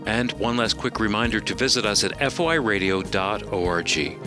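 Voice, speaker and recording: A man reads a steady, formal closing announcement for a radio program in a low voice. Theme music plays clearly underneath him. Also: a little fast. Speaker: announcer and executive producer.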